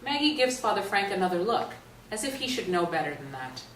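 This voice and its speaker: A woman's voice: medium pitch, sing-song, a little fast, fairly bright tone. A woman narrator reading stage directions aloud at a staged reading. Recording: echoey stage, distant mic, noisy room.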